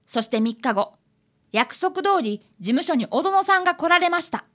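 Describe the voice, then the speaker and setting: A woman's voice: very high voice, expressive, moderate pace, a bit bright. A woman voicing an earnest, cheeky fifth-grade boy who is an apprentice detective in a radio drama. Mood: earnest narrating. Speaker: apprentice detective and story narrator.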